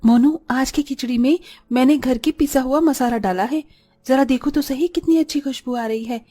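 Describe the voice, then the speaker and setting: A woman playing a caring, soft-spoken young eldest daughter in a Hindi cartoon story, earnest and polite. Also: high voice, moderate pace, soft background music. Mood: gentle, apologetic.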